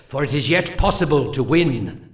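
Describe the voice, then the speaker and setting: A man voicing a solemn elven seer who briefs his warhost in a strategy game's cutscenes, speaking slowly and portentously. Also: mid-range voice, lively intonation, natural voice. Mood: grave. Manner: slow, grave and portentous. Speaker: game mission narrator. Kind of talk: 1990s strategy game cutscene narration. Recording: roomy game audio under loud music.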